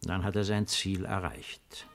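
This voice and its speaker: An actor in a 1950s German radio play playing an old, weary, retired Roman emperor with a deliberate, ironic, theatrical delivery. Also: male voice, low voice, fairly even intonation, gravelly, soft background music. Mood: bitter, reflective. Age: older.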